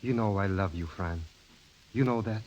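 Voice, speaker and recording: A lowish-voiced man acting as an ardent suitor in a 1930s radio romance. He is courtly, formal and pleading. The old recording is dull, with a faint hiss. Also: moderate pace, a little mellow, lightly acted. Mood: ardent, tender.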